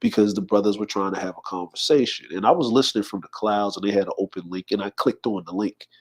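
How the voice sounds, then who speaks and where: Relaxed forty-year-old man hosting a livestream. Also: lowish voice, quick pace, slightly rough. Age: 40s. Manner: relaxed, shouts out viewers and reads comments aloud. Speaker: livestream host. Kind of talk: casual livestream chat.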